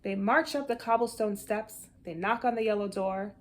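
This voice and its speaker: A woman reads a children's picture book aloud with an animated, deliberate storytelling delivery. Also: medium pitch, slight background noise. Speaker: storybook reader.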